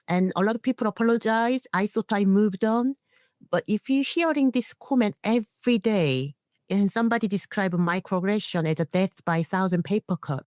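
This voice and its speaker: Low-pitched woman, closely recorded. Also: a little mellow, no room sound, clean studio sound. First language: not English. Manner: earnest, non-native phrasing. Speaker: guest, internationally trained nurse and leader. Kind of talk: personal reflective account in a podcast interview.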